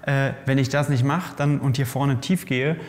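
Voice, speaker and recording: A male host in casual expert conversation, lively and explaining, often restarting mid-sentence, in a bike fitting lab. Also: mid-range voice, moderate pace. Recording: long reverb in a lab room.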